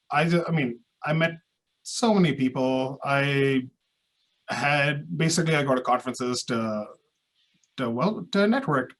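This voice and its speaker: A man from Pakistan speaks as a guest in a casual interview, with a voice a little higher than most men's. He answers hesitantly and fills his pauses with uhs and restarts. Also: fairly bright tone. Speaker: research scientist guest.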